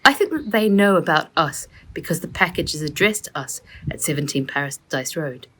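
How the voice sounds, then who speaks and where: Woman reading as a bright, inquisitive girl. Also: low-pitched, lively intonation, normal speed, a little hiss or hum. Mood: reasoning. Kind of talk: children's storybook read aloud.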